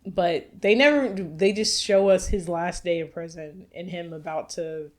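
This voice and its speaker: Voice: mid-range voice, a little slow. A podcast co-host giving wry, dismissive commentary in a casual chat. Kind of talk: casual chat about games and movies.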